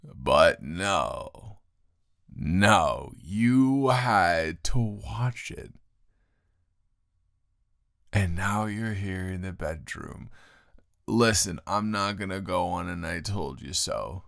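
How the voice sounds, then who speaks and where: A man with a very deep voice rambles as a grumpy but affectionate roommate in an improvised roleplay. He is playfully dramatic and exasperated, with plenty of fry in the voice. The sound is close and very dry. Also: very slow, pauses often, fairly bright tone, no room sound. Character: grumpy but fond male roommate woken at night. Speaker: audio roleplay performer.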